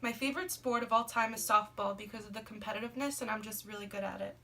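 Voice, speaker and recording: A woman's voice: mid-range voice, fairly even intonation, fast, bright, forceful tone. A twenty-year-old woman from Brooklyn, reading a prepared class presentation about herself. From Brooklyn, New York. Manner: reads a prepared script steadily, listing items. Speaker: student presenting a class assignment. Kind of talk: scripted personal presentation. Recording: noisy, somewhat distant recording.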